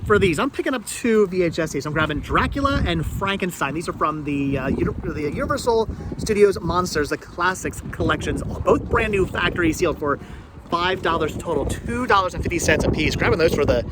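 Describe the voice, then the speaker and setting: Guy in his 40s w a high voice, outdoors w lots of noise, casual vlog chat, stammers and repeats himself, jokey. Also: animated, fairly quick. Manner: stammering, repeats words and phrases; jokey banter with companions. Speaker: vlog host. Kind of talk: casual chat, vlog commentary while shopping. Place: outdoor flea market. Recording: noisy outdoor ambience.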